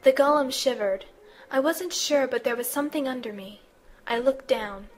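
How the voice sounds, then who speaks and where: A woman's voice: high-pitched, moderate pace. A woman playing an anxious teenage schoolgirl who narrates and acts in an amateur audio drama, earnest and dramatic. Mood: uneasy narrating.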